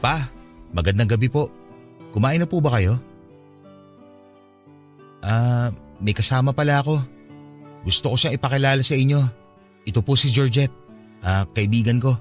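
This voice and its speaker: A man's voice: medium pitch, moderate pace, long pauses, natural voice. An actor playing an earnest, hurt son who longs for his father's love in a Filipino radio drama. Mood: polite, hesitant. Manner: polite, earnest, pleading. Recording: music behind the voice.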